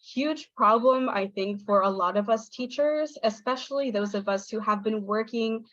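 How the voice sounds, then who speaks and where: High-voiced Southern US woman on a video call. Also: normal speed, a bit bright, dry recording. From Southern United States. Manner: chatty and apologetic, frequent ums. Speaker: guest speaker, English teaching assistant. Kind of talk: online workshop presentation. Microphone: video call on phone or computer. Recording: dull, dry video call audio.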